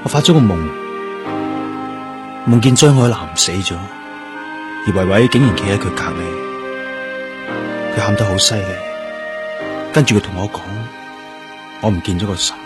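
A brooding young male detective, played by a radio actor, speaks in a low voice over clear music. He is subdued, grieving and introspective, carrying his weariness into every line. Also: normal speed, long pauses, natural voice. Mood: melancholy, haunted. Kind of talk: Cantonese radio drama acting.

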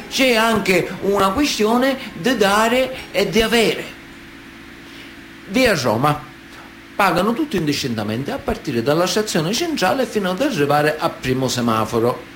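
A chilling Sicilian mafioso in radio drama: Sicilian accent, fairly high male voice, widely swinging intonation, matter-of-fact storytelling. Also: fairly bright tone, a little hiss or hum. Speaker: actor in radio theatre.

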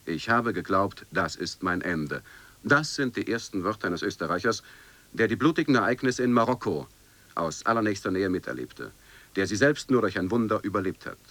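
Male radio narrator, crisp bright tone, formal scripted delivery, measured chronicle style. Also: fairly low pitch, lively intonation, no room sound. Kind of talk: scripted radio recitation of chronicle and news reports.

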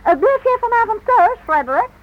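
A woman acting in a radio drama as the polite society wife of a titled gentleman. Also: very high voice, lively intonation, a little fast. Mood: polite, questioning. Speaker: actor. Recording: muffled, noisy old radio recording.

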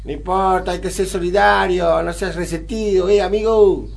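A high-pitched young street hustler from Buenos Aires, acted by a man, scraping by cleaning windshields. He talks in a friendly, slangy porteño drawl, in radio play style. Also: steady tone, moderate pace, vocal fry. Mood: good-natured. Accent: Argentine, Buenos Aires. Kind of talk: amateur radio drama acting.

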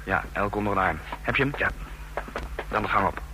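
An actor in a radio play playing a brisk prison-break rescuer, terse, urgent and commanding. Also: male voice, lowish voice, expressive, close to an everyday voice. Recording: old radio recording with faint hum.

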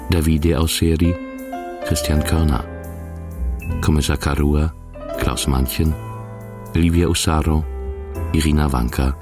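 Very deep-voiced male announcer over music. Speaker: announcer reading radio play credits. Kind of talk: scripted credits narration. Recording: music under the voice.

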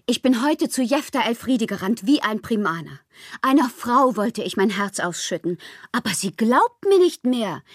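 A woman's voice: fairly high pitch, no room sound, clean studio sound. An actress playing a whimsical, lovelorn, melancholy woman poet performing her letters in a radio drama, theatrical and given to whispering. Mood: agitated, earnest. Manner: theatrical, swinging between breathy whispers and exclamations.

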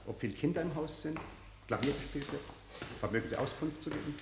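An actor playing a formal, fussy older gentleman who makes prim inquiries in a 1960s German radio play. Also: fairly high pitch, fast, gravelly. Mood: prim, insistent. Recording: distant echoey hallway, noisy old radio recording.

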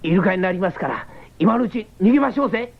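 A woman playing a cocky, comic young shapeshifting cyborg with a cheeky, excitable, theatrical delivery in a 1970s radio drama. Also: mid-range voice, quick pace, gravelly, heavy background noise. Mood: cocky, playful. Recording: dull 1979 radio broadcast.

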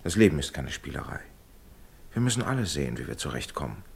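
Very deep, husky, conciliatory gentleman. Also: big pitch swings, exaggerated voice. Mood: conciliatory, weary. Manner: reassuring, educated, measured. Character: worldly, conciliatory middle-class gentleman and old family friend. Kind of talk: German radio drama acting.

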